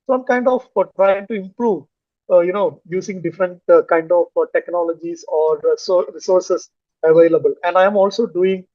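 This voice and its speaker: Hesitant Malayali-accented man, high voice. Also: a little mellow, dry recording, highs cut off. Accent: Indian English, Malayali. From Kerala, India. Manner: hesitant, many fillers and restarts. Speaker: English learner, finance manager. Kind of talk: casual conversation on a video call.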